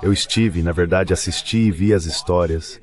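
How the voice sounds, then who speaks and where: A man voices a translation of a political debate. His voice is clearly deep, well below the usual male pitch. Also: moderate pace, a little mellow. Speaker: voice-over translator.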